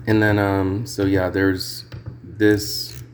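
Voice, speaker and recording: A man's voice: low-pitched, little pitch movement, drawn-out words. A man giving a casual, unscripted music gear demo in a rambling, hesitant, sweary way. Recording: clear background noise.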